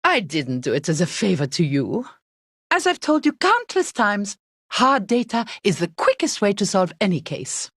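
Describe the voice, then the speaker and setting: An actress playing a proud, fact-driven young investigator in an animated mystery game, precise and superior, over loud music. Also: female voice, mid-range voice, normal speed, slightly rough, dry recording, studio quality. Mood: haughty.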